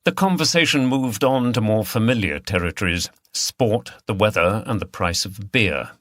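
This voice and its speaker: A man's voice: lowish voice, moderate pace, fairly bright tone, dry recording, clean studio sound. A man reading scripted third-person story narration as an audiobook narrator.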